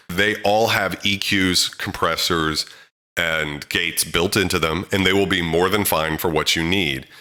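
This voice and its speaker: Very deep-voiced man explaining mics. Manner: relaxed, chatty, frequent fillers. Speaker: audio tutorial host. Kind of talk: casual how-to explainer. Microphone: handheld studio mic, close.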